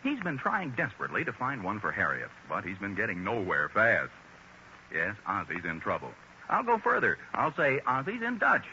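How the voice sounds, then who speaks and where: Animated American radio announcer, swooping pitch. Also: male voice, moderate pace. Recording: muffled, noisy 1940s radio recording.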